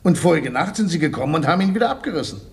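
Deferential informant, creaky, higher-pitched, talkative, radio play. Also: male voice, animated, very fast. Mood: eager, respectful. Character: deferential, talkative male witness reporting to his superiors. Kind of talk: German radio play acting.

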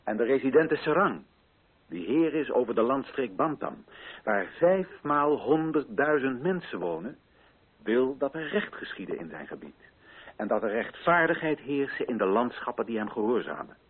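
A solemn colonial official in a radio drama, declaiming to assembled chiefs. His phrasing is rhetorical and deliberate, with wide swings in pitch. The sound is husky and narrow, like an old muffled broadcast. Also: very animated. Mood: commanding, solemn. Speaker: actor in a radio play. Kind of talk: declaimed theatrical oratory in a radio play.

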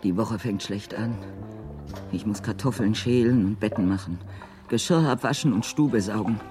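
An overworked housewife in a radio play, anxious and dutiful. She speaks in repetitive, incantatory phrases, like a litany of chores. Music plays clearly behind her voice. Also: rumbling low, normal speed. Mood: harried. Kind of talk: German radio play acting, incantatory interior monologue.

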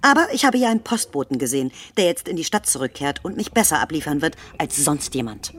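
A woman's voice: low voice, sing-song, quick pace, husky, heavily acted. A woman playing a proud, wounded wife in a radio drama, formal, pointed and haughty. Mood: offended, haughty.

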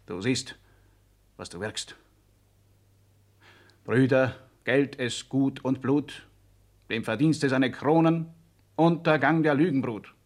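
An actor playing a fervent, uncompromising idealist in a radio drama, speaking in a solemn, insistent, declamatory way. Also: male voice, medium pitch, slow, long pauses. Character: fervent, high-minded, uncompromising male idealist. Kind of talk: 1960s German radio drama acting.

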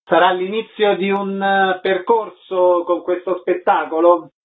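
A man's voice: high voice, flat delivery, a little slow. A man hosting a radio interview, presenting from a script and putting short questions. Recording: slightly dull radio recording.

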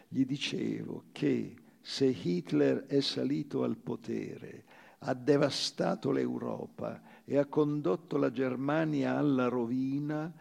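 Gravelly man reading in a reverberant hall. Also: mid-range voice, a little mellow. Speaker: actor reading literary prose on stage. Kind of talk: theatrical literary reading, first-person memoir.

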